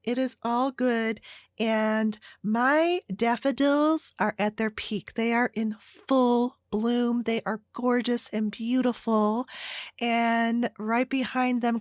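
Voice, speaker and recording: A woman's voice: on the high side, dry recording, clean studio sound. An American woman hosting a solo podcast, chatting casually in a rambling way. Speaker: podcast host.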